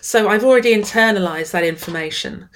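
A woman's voice: mid-range voice, a little fast, poor audio. A middle-aged woman writer in an interview, speaking with enthusiasm and often restarting or repeating words. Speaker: writer and guest. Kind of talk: conversational interview.

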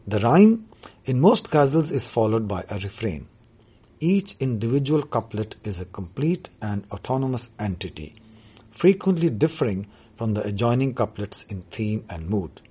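A man whose first language is Urdu, talking about his writing as a poet in a measured, deliberate way. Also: on the low side, very animated, mellow, slightly rough. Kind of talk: reflective talk on his writing.

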